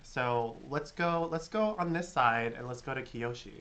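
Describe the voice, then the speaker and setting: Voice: medium pitch, normal speed, fairly bright tone. Drag host, from California, chatty, upbeat, video call audio. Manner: chatty, filler-heavy, upbeat. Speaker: panel host. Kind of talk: casual online panel chat.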